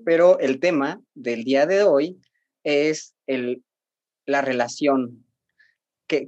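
A man hosting a podcast, asking his guest questions in slow, hesitant phrases. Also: medium pitch, a little mellow, muffled recording. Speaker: podcast host. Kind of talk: interviewer.

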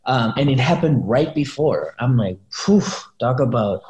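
A man from Chile chatting informally as a guest, thinking aloud in a halting, slangy way. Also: medium pitch, expressive, a crowd in the background. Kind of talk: casual chat. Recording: echoey room.

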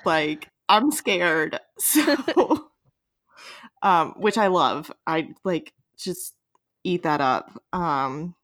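Low, very animated woman podcaster. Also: drawn-out words. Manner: blunt, teasing, sweary, acts out imagined lines. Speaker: podcast host. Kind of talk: casual, joking fan chat.

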